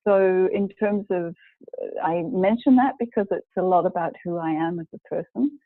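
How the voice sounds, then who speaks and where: A woman in her forties from New Zealand, an executive coach telling her story as a guest, measured and pausing often to find her words. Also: lowish voice, no echo. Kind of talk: personal storytelling in a live video chat. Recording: tinny, phone-like dry sound.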